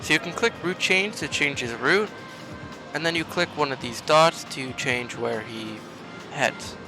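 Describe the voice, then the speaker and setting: A man's voice: a little high, unhurried, over music, rough recording. A man hosting a gaming video, explaining a game step by step in tutorial-style commentary.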